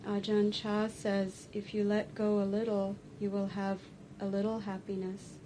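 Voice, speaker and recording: A woman guides a meditation in a mellow, medium-pitched voice. Her delivery is very flat and deliberate, broken into short phrases with pauses. Faint noise sits behind her. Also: rough recording. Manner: slow, deliberate, pausing between short phrases. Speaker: meditation teacher. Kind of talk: guided meditation and dharma talk.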